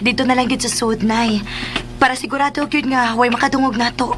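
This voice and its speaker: A woman's voice: on the high side, steady tone, brisk, music in the background, close to an everyday voice. A woman playing a caring, puzzled younger woman in a radio drama, hesitant and confiding as she speaks.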